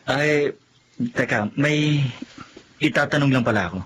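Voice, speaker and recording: A man's voice: mid-range voice, measured pace, fairly bright tone, a little hiss or hum, lightly acted. An actor playing a helpful, curious young Filipino man in a radio drama, polite and earnest.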